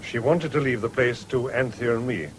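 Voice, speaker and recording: An actor playing a sober country doctor with an English accent in a 1970s radio drama, measured and grave. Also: male voice, mid-range voice, lightly acted. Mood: grave, gentle. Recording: hissy old radio broadcast recording.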